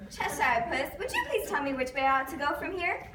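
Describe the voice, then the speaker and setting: A woman's voice: sits high, very animated, a little fast. A woman playing a bewildered, polite, easily frustrated young girl in an amateur readers theater performance. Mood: bewildered, earnest. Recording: echoey room, distant mic, faint noise.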